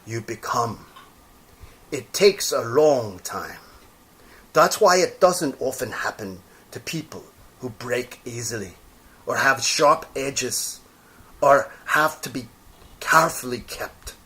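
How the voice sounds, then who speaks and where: Man as a slow-spoken wise old toy horse. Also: mid-range voice, animated, fairly bright tone. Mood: gentle, wise. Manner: slow, patient, explaining.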